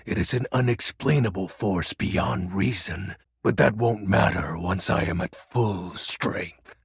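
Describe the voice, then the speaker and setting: An actor voicing a melodramatic, brooding game character, a personification of darkness who talks in pompous, theatrical, archaic phrases with a gravelly, husky voice. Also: male voice, deep voice, a little slow, no room sound, studio quality, full character voice. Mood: resolute. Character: melodramatic brooding Lord of Shadows, personified darkness, secretly dorky. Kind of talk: video game character voice acting.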